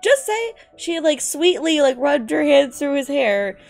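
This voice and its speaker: Very animated young woman, streamer reacting. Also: sits high, a little slow, gravelly, soft background music. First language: not English. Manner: animated, exasperated, giggly, slangy, profane. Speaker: streamer reacting to fan fiction. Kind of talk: casual commentary while reading aloud.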